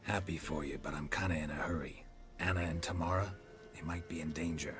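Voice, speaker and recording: Game role: male actor as laconic hunter for hire, rock-bottom pitch, gruff, sardonic and unimpressed, scored with music. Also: brisk, close to an everyday voice. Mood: sardonic, impatient. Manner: deadpan, terse, sardonic. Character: gruff, laconic monster hunter, adult man, dry and impatient. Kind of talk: understated fantasy game acting. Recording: music behind the voice.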